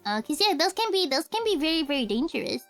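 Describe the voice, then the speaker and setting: A young woman with a very high voice chats on a livestream, rambling and giggling as she answers viewer questions. She often corrects herself mid-sentence. Soft music plays faintly behind her. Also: big pitch swings, quick pace. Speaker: streamer and cover singer. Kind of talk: casual livestream Q&A chat.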